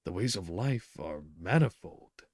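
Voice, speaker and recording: A man's voice: deep voice, animated, a little slow, a little mellow, breathy edge, no echo, clean studio sound, exaggerated voice. A man voicing a timid, awkward middle-aged clerk who speaks hesitantly and stammers. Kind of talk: reading a novel aloud.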